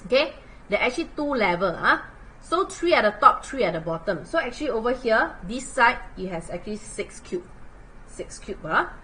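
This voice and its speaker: Animated Singaporean woman tutoring math. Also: fairly high pitch, moderate pace, a little hiss or hum, rough recording. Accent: Singaporean English. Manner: chatty, animated, uses local particles like lah and leh. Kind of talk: livestream math lesson.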